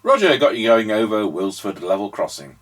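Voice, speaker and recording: A man's voice: lowish voice, very animated, normal speed. A man giving casual demonstration commentary as a radio repair host and operator.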